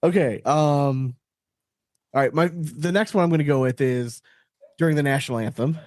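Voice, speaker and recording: A man's voice: fairly high pitch, moderate pace, a little mellow, no room sound, studio quality. A man chatting casually on a podcast in an informal, slangy, joking way.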